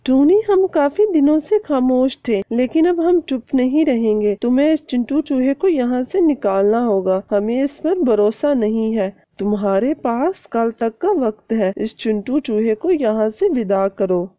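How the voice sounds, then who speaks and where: A woman's voice: on the high side, lively intonation, a little slow, hardly any pauses, dark tone, no echo, clean studio sound. A woman voicing a scheming, resentful male crow in a cartoon story, insistent and persuading. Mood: stern, accusing.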